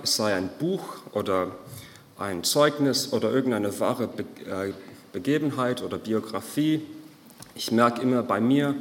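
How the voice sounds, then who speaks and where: A man with an Irish accent preaching a sermon in an informal, halting way with many fillers. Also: lowish voice, in a large hall, slight background noise. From Ireland.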